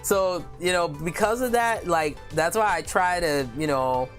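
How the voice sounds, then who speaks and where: A woman with a deep voice talks to her live-stream viewers in a loose, candid way, full of "you know" and quick asides. She reads viewer comments aloud and answers them as she goes. Faint music plays behind her. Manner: candid and chatty, many "you know" fillers, quick laughs.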